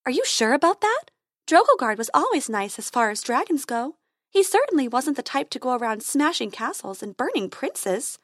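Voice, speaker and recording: A woman voicing a brave, brisk female tooth fairy dentist with a confident, bright manner. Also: high voice, sing-song, dry recording, clean studio sound, voice pushed up, full character voice. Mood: concerned.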